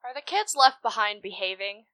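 A woman's voice: mid-range voice, animated, normal speed, pushed and bright, dry recording. A woman chatting casually and role-playing as a player in a tabletop game. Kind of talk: casual chat and role-play.

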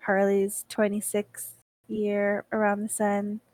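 A woman in her twenties co-hosts a chat podcast and steers the talk with questions. She teases and giggles often. Her voice is mid-pitched and sounds dry and close. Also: completely flat delivery, slow pace, a little mellow, dry recording. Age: 20s. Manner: teasing, giggly, asks the questions. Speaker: podcast co-host. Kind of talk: casual chat.

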